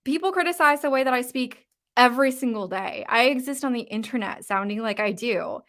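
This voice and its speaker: A woman with a mild Canadian West Coast accent gives a casual live-stream coaching talk. Her voice sits a little high and sounds very bright and forward. Her statements lift at the end, and she talks informally with plenty of "like.". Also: fairly quick. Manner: uptalk, informal with frequent fillers. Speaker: confidence coach. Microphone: live-stream mic.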